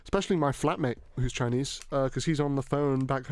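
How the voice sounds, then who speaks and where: A young man with a British accent chats casually as podcast host, rambling from one thought to the next and dropping the odd swear word. His voice sits at an ordinary mid pitch. The sound is dry and close. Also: dry recording. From Hong Kong. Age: young adult. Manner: rambling, swearing, quick laughs. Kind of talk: casual chat.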